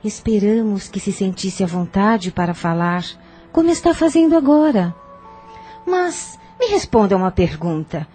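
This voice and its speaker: Woman as wise consoling sister, middle pitch, husky, earnest, audio novel, music underneath. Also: lively intonation, a little slow, dark tone. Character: wise, compassionate, firm younger sister. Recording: music behind the voice.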